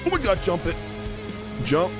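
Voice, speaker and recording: Young male game streamer, extremely animated intonation, excitable slangy reactions, prominent battle music behind. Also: high-pitched, normal speed. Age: young adult. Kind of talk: live gameplay reaction commentary. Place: indoor streaming room. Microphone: close desk microphone.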